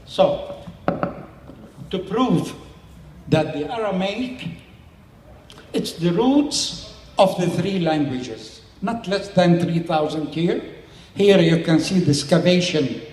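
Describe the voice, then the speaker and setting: A man's voice: sits high, animated, slow, long pauses, darkish tone, roomy sound, in a large hall. An older man whose first language is Syriac, speaking plain non-native English in short phrases as an interviewee and community elder. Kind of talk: explaining to a small audience.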